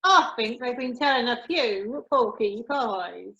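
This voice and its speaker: A woman's voice: fairly high pitch, lively intonation, slow pace, sharp tone, exaggerated voice. A woman playing a down-to-earth, sceptical mum in an amateur radio drama, recorded over a video call. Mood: sceptical, gossipy. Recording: echoey, dull video call audio.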